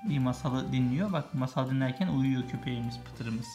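Low-voiced woman reading a children's story. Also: moderate pace, dark tone. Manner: explains and chats with the child while reading. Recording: music playing behind the voice. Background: music.

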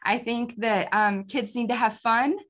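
A woman's voice: fairly high pitch, fairly even intonation, unhurried, fairly bright tone. A young woman, a kids ministry pastor, answering interview questions in an upbeat, casual way and laughing often, on a video call from a small office. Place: small office with bookshelves, indoors. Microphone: video call webcam.

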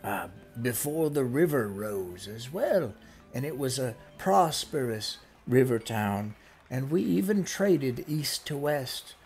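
A man's voice: medium pitch, lively intonation, slow, a little mellow, soft background music. A man voicing a scratchy-voiced old male quest giver who rambles hesitantly with many fillers. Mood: pleading.

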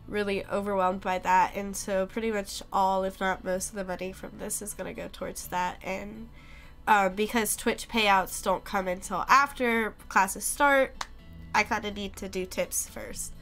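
A woman's voice: mid-range voice, fairly bright tone. Chatty young woman streamer, a bit sick. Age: young adult. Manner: chatty, rambling, many ums. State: sick, losing her voice. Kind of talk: casual live-stream chat.

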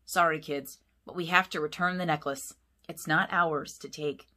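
A woman voicing a kind, steady father dog in a storybook who speaks calmly and reassuringly. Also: deep voice, normal speed. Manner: calm and reassuring.

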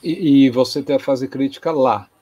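A man's voice: medium pitch, normal speed, dark tone. A Brazilian man in his thirties interviews a guest on a video call from home. He asks short, plain follow-up questions in a mid-range voice. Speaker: interviewer, host. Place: home room, indoors.

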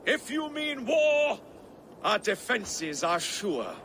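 A man's voice: high voice, very animated, takes it slowly, fairly bright tone, a little hiss or hum, full character voice. An actor playing a proud, defiant older king in a video game, speaking with scorn. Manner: scornful, haughty. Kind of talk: video game cutscene acting.

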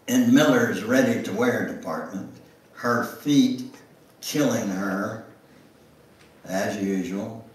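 An older man giving a dramatic memoir reading in a deliberate, theatrical storytelling manner. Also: fairly low pitch. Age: older, 70s or above. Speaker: guest speaker reading aloud. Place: church sanctuary, at a lectern. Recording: echoey room, recorded from far off.

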